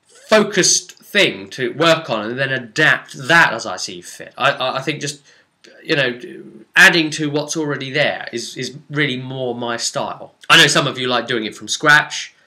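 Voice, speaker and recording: Guy recapping his rpg session, really bright punchy voice, dramatic and emphatic storyteller. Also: medium pitch, normal speed. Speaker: game master recapping a campaign. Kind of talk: tabletop role-play session recap, informal storytelling.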